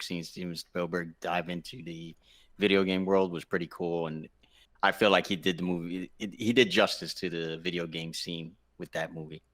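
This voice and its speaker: Low-voiced man, casual podcast co-host. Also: a bit bright. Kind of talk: casual chat.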